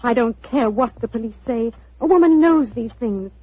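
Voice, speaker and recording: A woman's voice: fairly high pitch, expressive, moderate pace, slightly rough, dry recording. An actress playing an anxious young woman in mourning, earnest and pleading, in 1940s radio acting. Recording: dull old radio recording.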